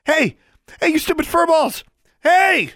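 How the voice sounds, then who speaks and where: A man voicing a bold, taunting teenage witch girl in an audiobook, exclaiming loudly and mockingly. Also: medium pitch, a little fast, vocal fry, husky, dry recording, clean studio sound, voice pushed up. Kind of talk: audiobook character acting.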